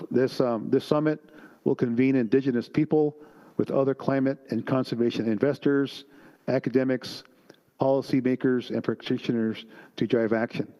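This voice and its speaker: A man giving the closing remarks of a symposium talk, earnest and a little halting. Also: medium pitch, dark tone. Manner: earnest, with hesitations. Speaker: guest speaker at a symposium. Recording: long hall reverb.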